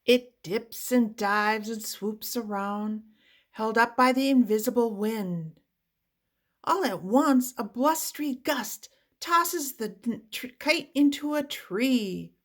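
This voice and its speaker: A woman reading a children's picture book aloud with deliberate, expressive phrasing for young listeners. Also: mid-range voice. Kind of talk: read-aloud children's storybook narration.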